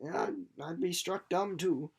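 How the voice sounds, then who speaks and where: A man's voice: sits high, measured pace, husky. Playful young man casually recapping a book. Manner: informal, playful, rambling with asides. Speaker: book reader and presenter.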